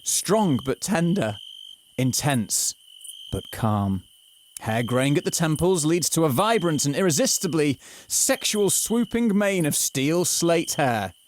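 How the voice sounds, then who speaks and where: A man's voice: a little high, animated, slow pace, slight background noise. A man narrating a scripted comic audio drama in an overblown, literary, mock-dramatic way. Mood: mock-sultry.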